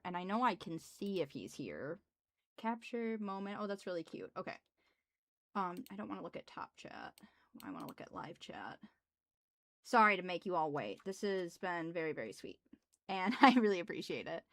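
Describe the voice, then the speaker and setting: Young Midwestern woman streamer, gruff voice, mid pitch, dry close sound, excitable and chatty. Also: moderate pace, no room sound. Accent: Midwestern American. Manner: bubbly, thanking viewers, laughs often. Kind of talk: casual live stream chat. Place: small home office.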